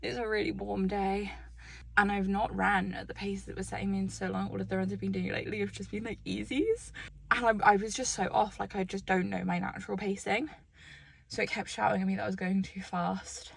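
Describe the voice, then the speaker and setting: A young English woman vlogging casually to a handheld camera, chatty and rambling, her voice a little husky and deep, sometimes dropping to a soft whisper. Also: flat delivery, a little fast. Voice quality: slightly deep, husky morning voice. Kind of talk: casual vlog chat to camera. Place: at home and outdoors after a run. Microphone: small handheld camera.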